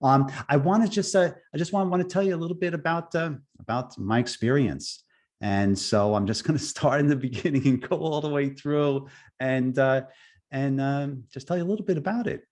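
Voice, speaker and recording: A middle-aged man with a thick Long Island accent tells a personal story in a halting, earnest way. His voice sits in a middle pitch, and he keeps restarting phrases and filling gaps with "uh" and "um". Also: a little mellow. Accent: Long Island New York. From Long Island, New York. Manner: halting, many restarts and fillers, laughs lightly. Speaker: guest speaker, near-death experiencer.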